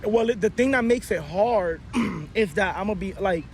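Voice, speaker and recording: Laid-back young rapper, high voice. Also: male voice, normal speed, slight background noise. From Orlando, Florida. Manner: laid-back, slangy, says "you feel me" often. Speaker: rapper guest. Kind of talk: casual chat.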